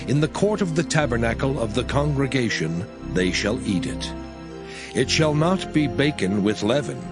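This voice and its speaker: A man reading scripture aloud in a measured, expressive way. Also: mid-range voice. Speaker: scripture reader. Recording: voice over clear background music.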